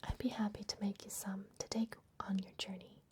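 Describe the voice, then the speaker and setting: A woman whispering gently and unhurriedly in a breathy voice, playing a kindly vampire librarian in an ASMR fantasy roleplay. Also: medium pitch, little pitch movement, brisk. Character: kindly vampire librarian hosting a human guest. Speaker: ASMR performer.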